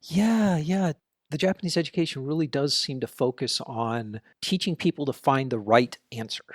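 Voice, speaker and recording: A man's voice: fairly high pitch, normal speed, dry recording. Podcast host man, dry close sound. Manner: conversational, thinks aloud. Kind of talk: interview and solo commentary.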